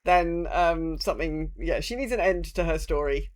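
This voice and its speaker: A woman's voice: low voice, normal speed, a little mellow. A woman co-hosting a podcast, chatting casually and reading out listener messages. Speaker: podcast co-host. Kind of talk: casual chat.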